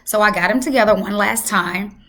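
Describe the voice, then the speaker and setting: A woman hosting a health channel, hoarse from losing her voice, talking casually and emphatically to her viewers. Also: mid-range voice, fairly quick, sharp tone. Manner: emphatic, repeats for effect. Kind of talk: casual talk to camera.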